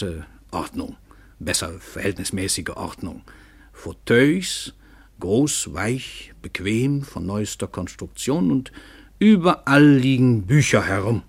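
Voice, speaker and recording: An actor playing a brash, dissolute, world-famous novelist who addresses radio play listeners in a theatrical, ironic way with hesitant asides. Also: male voice, medium pitch. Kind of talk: radio play monologue, narrating to the audience.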